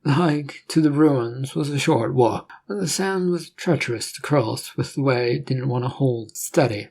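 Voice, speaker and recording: A man's voice: medium pitch, animated, normal speed, a little mellow. A man reading scripted fiction as a narrator, telling the story in a dramatic way.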